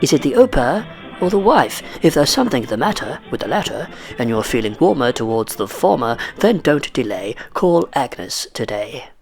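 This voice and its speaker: A man's voice: medium pitch, very animated, normal speed, vocal fry, darkish tone. A man playing a slick announcer who reads a rhyming spoof radio advert in a declamatory sales style. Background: music.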